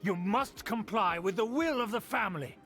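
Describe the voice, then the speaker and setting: A haughty old noble uncle, pompous and lecturing, his high voice swinging widely with indignation. Game music plays behind him. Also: animated, moderate pace, fairly bright tone. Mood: indignant. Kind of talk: video game voice acting.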